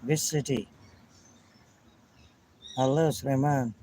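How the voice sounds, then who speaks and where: A man from Pakistan with a Pakistani accent, chatting in simple, halting English, repeating friendly phrases and greetings. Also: medium pitch, steady tone, mellow, a little hiss or hum, rough recording. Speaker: vlogger chatting with strangers. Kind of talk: casual friendly chat.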